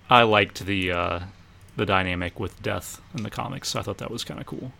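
A man co-hosting a podcast, giving measured opinions on films in casual conversation. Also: on the low side, vocal fry, sharp tone. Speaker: podcast co-host. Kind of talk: casual chat about films.

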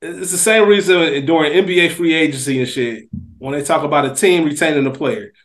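A man's voice: fairly high pitch, brisk. A man co-hosting a podcast in casual chat, slangy and emphatic. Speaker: podcast co-host.

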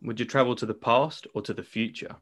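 A man's voice: medium pitch, steady tone, fairly quick, a bit bright. A British man hosting a podcast interview, with a playful, teasing way of talking. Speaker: podcast host. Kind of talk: casual interview chat.

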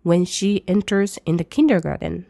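A woman who teaches English, reading each English line slowly and then translating it briskly. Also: fairly low pitch, vocal fry, mellow, dry recording, clean studio sound. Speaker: language teacher. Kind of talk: bilingual lesson commentary.

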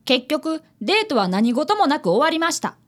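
ラジオドラマで、丁寧な口調の真面目な少年探偵見習いを演じる女性。. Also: female voice, high-pitched, big pitch swings, moderate pace, a bit bright. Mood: earnest narrating. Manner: polite, deferential speech. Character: polite, earnest young boy, apprentice detective. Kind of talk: radio drama acting and narration.

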